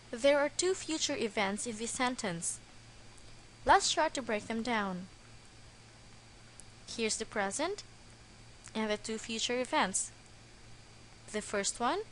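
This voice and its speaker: A woman narrates a grammar lesson at a very unhurried pace. Her voice sits somewhat high and stays careful and deliberate throughout. A faint hiss runs under the recording. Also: fairly bright tone, poor audio. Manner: slow, careful, deliberate delivery. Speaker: English grammar teacher.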